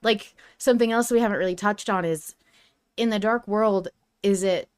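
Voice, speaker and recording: A woman's voice: medium pitch, normal speed, clean tone. A woman hosting a podcast in casual, informal discussion. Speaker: podcast host.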